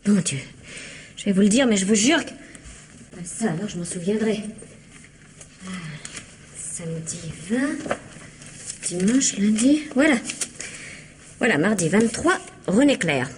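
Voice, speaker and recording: An actress playing an indignant, evasive young woman suspected of murder in a radio mystery, with a husky, breathy, flustered delivery. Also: mid-range voice, expressive, measured pace, long pauses, slight background noise, lightly acted. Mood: flustered, affronted. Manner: flustered, hesitant, protesting. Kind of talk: French radio mystery drama acting.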